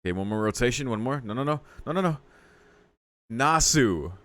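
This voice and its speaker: A man's voice: medium pitch, animated, moderate pace, fairly bright tone. A man doing casual gameplay commentary as a video host, reacting with exclamations and mock exasperation.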